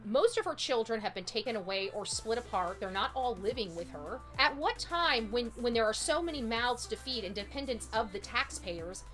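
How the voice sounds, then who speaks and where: A woman's voice: a little high, expressive, normal speed, few pauses, fairly bright tone, faint music behind. A woman hosting a livestream, giving opinionated, casual true-crime commentary in a blunt, emphatic, rambling and chatty way. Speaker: livestream host.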